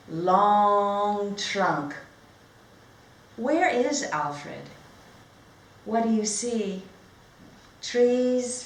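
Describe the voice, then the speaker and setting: A woman teacher reading a storybook aloud as a lesson for young learners, speaking in simple, deliberate phrases with pauses and questions to her listeners. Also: medium pitch. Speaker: teacher demonstrating read-aloud techniques. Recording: echoey room with clear background noise.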